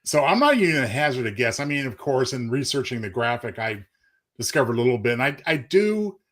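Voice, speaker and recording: A man's voice: mid-range voice, big pitch swings, quick pace, fairly bright tone. A man co-hosting a casual live show, with a wordy, courtly way of talking. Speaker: radio stream co-host.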